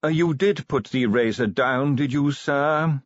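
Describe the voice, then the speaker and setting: A man voicing a tactful, unflappable butler in an audiobook, formal, measured and cautiously polite. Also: medium pitch, no echo, muffled recording, pitched above the performer's own voice, natural voice. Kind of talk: audiobook character voice.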